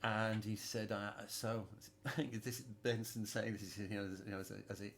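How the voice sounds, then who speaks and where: Low-voiced male host. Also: level tone, moderate pace, a bit bright, slight background noise. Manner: hesitant, repeats words, laughs often. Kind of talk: casual interview chat.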